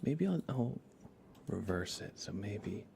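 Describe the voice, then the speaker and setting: A man chatting casually on an ASMR game livestream in a soft, breathy voice that often slips toward a whisper, hesitant and rambling. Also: fairly low pitch, sing-song, takes it slowly, slight background noise. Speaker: livestream host. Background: music.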